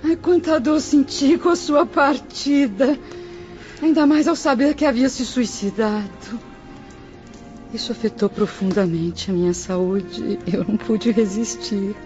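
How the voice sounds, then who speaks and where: An actress playing the sorrowful spirit of an old mother in a radio drama, mournful, solemn and drawn-out. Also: fairly high pitch, darkish tone, close to an everyday voice. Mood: sorrowful love. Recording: dull radio drama recording with music.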